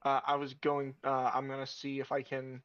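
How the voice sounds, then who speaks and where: A man playing a tabletop game, talking casually in a hesitant, halting way. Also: mid-range voice, monotone, a bit bright, clean tone. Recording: slightly dull, lo-fi microphone sound.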